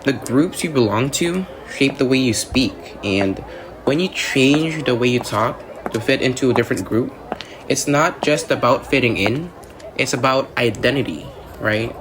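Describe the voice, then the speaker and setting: A man acts as an earnest, academically minded male student in a radio drama. He explains patiently in a conversational way, often tagging points with a check for agreement. His medium-pitched voice sits over clear ambient noise. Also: moderate pace, lightly acted. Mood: earnest, explanatory. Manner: explanatory, checks with "right?" and "you know". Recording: noisy outdoor ambience.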